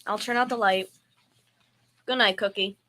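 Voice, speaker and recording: Bright-voiced, sarcastic young roommate played by a woman. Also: mid-range voice, steady tone, normal speed, natural voice. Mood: exasperated, soothing. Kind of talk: 1940s radio comedy acting.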